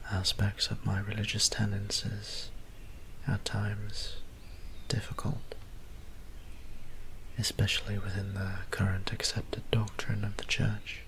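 A man playing a gentle, doting young vampire priest in an ASMR roleplay, speaking softly and half-whispering in polite, old-fashioned phrases. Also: on the low side. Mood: sheepish, weary. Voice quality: soft, breathy, half-whispered. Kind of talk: soft-spoken ASMR roleplay. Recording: steady background ambience noise.

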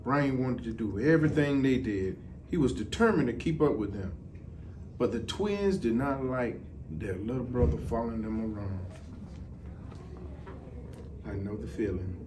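A man's voice: medium pitch, expressive, a little slow, long pauses. Middle-aged man reading aloud, slightly distant. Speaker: deputy fire chief. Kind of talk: children's storybook reading. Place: indoor office, seated. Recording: distant mic, some background noise.

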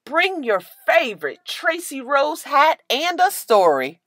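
An eager, earnest little schoolgirl from a storybook, voiced by a woman. She sounds hopeful, with a very lively, swooping pitch and a dry, close sound. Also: slow pace, dry recording, exaggerated voice. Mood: excited. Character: eager, earnest young schoolgirl who loves hats. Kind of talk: storybook character voice.

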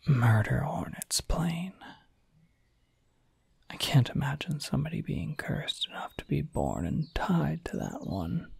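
A suave male incubus acted by a man speaks in a soft, very breathy whisper. He is sly and teasing, lingering slowly over his words. It is an intimate ASMR roleplay, close on the mic with a dry, dark sound. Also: a little high, dry recording, heavily acted. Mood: musing. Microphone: close microphone.

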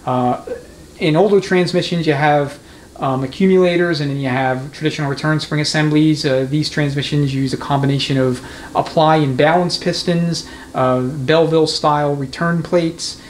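Male mechanic, slightly high pitch, talks through a hands-on demo step by step, light shop noise behind. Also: steady tone, moderate pace. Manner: conversational, methodical explaining. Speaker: transmission mechanic giving a demonstration. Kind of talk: hands-on workshop tutorial, unscripted explanation. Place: indoor transmission repair workshop. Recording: clear background noise of a workshop.

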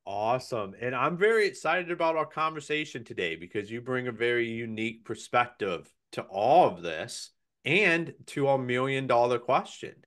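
Male podcast host, 30s, hyped and upbeat, slightly hoarse, webcam sound. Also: mid-range voice, normal speed. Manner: energetic, hyped delivery. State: voice slightly crackling.

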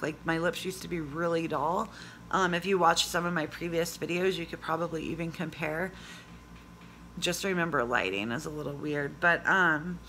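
Hoarse, chatty woman on a phone camera. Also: fairly low pitch, bright, forceful tone, a little hiss or hum. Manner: chatty, rambling, reads labels aloud. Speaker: vlogger. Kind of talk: casual product review vlog. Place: small indoor room at home. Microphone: phone camera at arm's length.

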